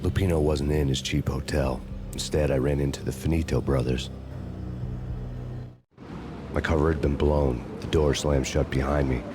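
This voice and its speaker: Very deep and dry, this is a weary hardboiled cop, murmuring his bleak noir narration in a flat, cynical deadpan. Clear game music plays behind him. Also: normal speed, long pauses. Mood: grim.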